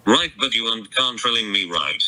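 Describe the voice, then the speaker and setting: A man's voice: mid-range voice, lively intonation, a little fast, bright, forceful tone, slight background noise, poor audio. A man doing a blunt robotic-sounding woman. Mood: combative. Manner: blunt, curt, swears. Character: adult woman, fiancée, blunt and combative. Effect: robotic voice changer on the phone.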